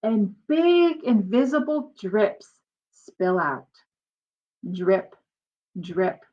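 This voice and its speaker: A woman's voice: mid-range voice, sing-song, very slow, warm tone, highs cut off. A woman reading a picture book aloud like a teacher, expressively and with simple explanatory asides for young children.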